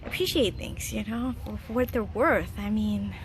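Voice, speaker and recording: A woman's voice: medium pitch, normal speed, slight background noise. A woman in her thirties from Chile, giving casual, earnest life advice in a home vlog, chatty and laughing often. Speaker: vlogger. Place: home garden.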